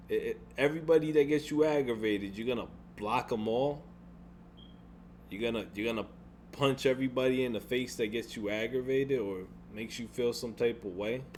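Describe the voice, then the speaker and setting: Deep-voiced young man venting, rambling. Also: darkish tone, slight background noise. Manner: frustrated, rambling with frequent restarts and swearing. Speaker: gaming YouTuber. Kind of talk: casual venting vlog.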